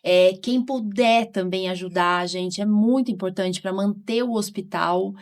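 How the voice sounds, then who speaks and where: A woman hosts a casual podcast. Her voice sits at a medium pitch. She talks informally and often checks in with short tag questions. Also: a little slow. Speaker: podcast host, physician.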